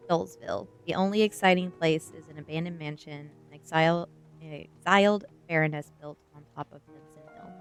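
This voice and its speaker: A woman streamer with a soft-spoken, informal manner, reading aloud for a live audience in a casual ASMR style. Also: low voice, gravelly. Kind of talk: reading a novel aloud on a livestream, with chat asides.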